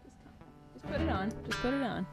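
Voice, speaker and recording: A woman's voice: fairly high pitch, lively intonation, a little fast. Young woman co-hosting over guitar music. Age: young adult. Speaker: podcast co-host and musician. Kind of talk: casual chat. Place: small curtained stage studio. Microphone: stand-mounted vocal microphone. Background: acoustic guitar.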